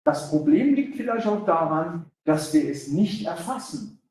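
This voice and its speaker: A man speaking German at a lecture, pausing in short chunks so an interpreter can translate. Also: sits high, very animated, a little mellow. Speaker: guest speaker, sales director. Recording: echoey hall, voice from far off.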